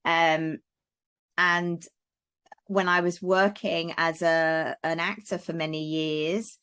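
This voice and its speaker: Woman, middle-aged, from Wales, lowish pitch, chatty with fillers, video call. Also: level tone, slow, fairly bright tone, clean tone. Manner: chatty, many fillers and restarts. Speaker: guest, parenting coach. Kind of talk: casual interview chat.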